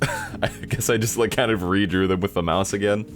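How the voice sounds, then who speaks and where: A man's voice: lowish voice, a little fast, soft background music. A young man giving casual, self-deprecating commentary in a dry manner as he plays through his own game. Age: young adult. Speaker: video creator playing own game. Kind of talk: casual playthrough commentary and reading aloud.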